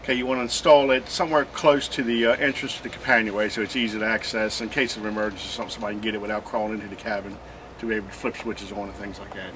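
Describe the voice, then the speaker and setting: Faint outdoor noise sits behind a man with a low voice. He talks quickly and informally, giving practical advice like a seasoned boating advisor. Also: little pitch movement. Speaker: marine industry advisor.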